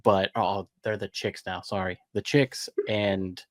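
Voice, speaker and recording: A male interview host with a lowish voice chats eagerly with his guest, circling his points with frequent fillers. His voice sounds close and dry, with little room sound around it. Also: level tone, a little slow, dry recording. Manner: enthusiastic, rambling, many fillers. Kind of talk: casual interview chat.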